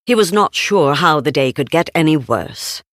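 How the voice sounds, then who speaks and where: A woman's voice: low voice, animated, normal speed, no room sound, studio quality. A woman narrating a children's story, reading expressively from a script as a storybook narrator.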